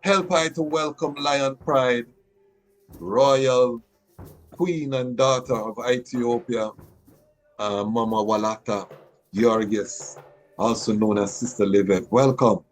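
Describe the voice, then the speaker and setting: A man's voice: mid-range voice, fairly even intonation, takes it slowly, soft background music, low-quality recording. A middle-aged man hosting a podcast interview, speaking with an emphatic rhythm and frequent restarts. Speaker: podcast host.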